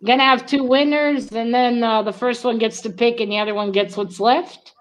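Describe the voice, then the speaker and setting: Woman, show host, slightly high-pitched, casual and conversational, halting with repeated words. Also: fairly even intonation, poor audio. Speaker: host of a book read-along show. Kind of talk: casual chat.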